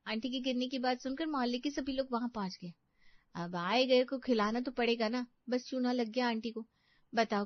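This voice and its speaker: Woman doing a young scheming bahu, high voice, whiny n glib, close dry recording a bit muffled. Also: dry recording, close to an everyday voice. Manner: complaining, glib. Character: young daughter-in-law, sly, grumbling, quick-witted schemer.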